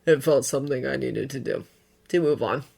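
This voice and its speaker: A woman voicing a remorseful adult man who speaks softly and apologetically in a storybook reading. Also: medium pitch, a little fast, gravelly, breathy edge, pitched below the performer's own voice. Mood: tender. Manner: soft, subdued, apologetic.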